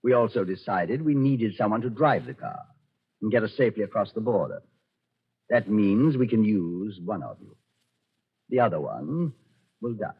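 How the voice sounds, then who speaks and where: Nazi colonel, husky and animated, commanding, sardonically courteous, vintage radio drama, dull lo-fi sound. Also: male voice, lowish voice, moderate pace, pauses often. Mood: smooth menace. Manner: commanding, suavely polite, sardonic. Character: coolly menacing Nazi colonel escaping through the desert. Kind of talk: 1940s radio acting.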